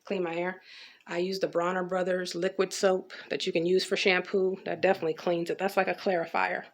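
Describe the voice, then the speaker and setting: American lady doing a chatty hair vlog, gravelly fry in her voice, rambles and repeats herself. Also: fairly low pitch. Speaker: natural hair care vlogger. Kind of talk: casual chatty hair update.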